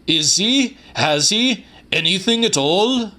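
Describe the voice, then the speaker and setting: A man's voice: sits high, animated, takes it slowly, pushed and bright, pitched above the performer's own voice, exaggerated voice. A man voicing an irascible, bedridden old man in an audiobook, theatrical and full of indignant, emphatic questions.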